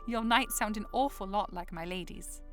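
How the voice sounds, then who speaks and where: An actress playing a young princess who is playful, teasing and self-assured in an audio drama. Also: female voice, mid-range voice, moderate pace, fairly bright tone, faint music behind, close to an everyday voice. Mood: amused.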